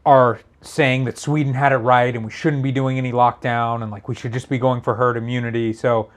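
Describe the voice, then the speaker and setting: A man co-hosting a casual chat show, with a slightly raspy voice. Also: medium pitch, level tone, a little fast. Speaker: show co-host reading viewer messages.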